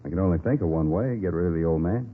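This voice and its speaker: An actor playing a bitter, defiant gambler confessing in a 1950s American radio crime drama, in clipped, defensive speech. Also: male voice, low voice, fast, phone-quality sound, close to an everyday voice. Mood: bitter, desperate. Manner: clipped, defensive, plain-spoken. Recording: vintage radio, thin band, hissy.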